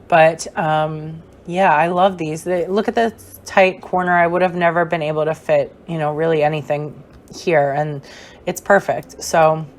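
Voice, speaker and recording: A young woman with a hoarse, fading voice, giving a chatty, rambling gameplay tour voice-over with many fillers. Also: fairly low pitch. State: hoarse, losing her voice. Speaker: gaming creator.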